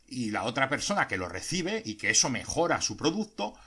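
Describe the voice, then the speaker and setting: Podcast host, man with a Spanish accent, mid-range voice, thoughtful and earnest, pauses often. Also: medium pitch, big pitch swings, pushed and bright, slightly rough. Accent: Spain. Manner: earnest, reflective, with frequent hesitations. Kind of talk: explanatory podcast monologue.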